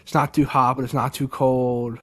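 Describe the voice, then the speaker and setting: A young man from Delaware, a podcast guest, telling a story casually and excitedly, with lots of "like" and "dude". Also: mid-range voice, normal speed. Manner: animated, many fillers like "like" and "dude".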